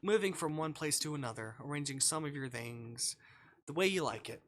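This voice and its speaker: A man hosting a game livestream with a bright, forward voice and very animated pitch. He reads visual novel text aloud and mixes it with playful chat. His delivery is expressive and jokey. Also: brisk. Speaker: live streamer playing a visual novel.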